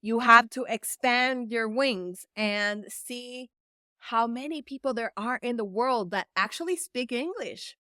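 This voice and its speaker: A woman's voice: on the high side, bright, forceful tone, clear, resonant tone, no room sound, clean studio sound. A woman from El Salvador whose first language is Spanish, an event producer giving an earnest, encouraging talk to camera and stretching words for emphasis. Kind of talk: direct-to-camera motivational talk.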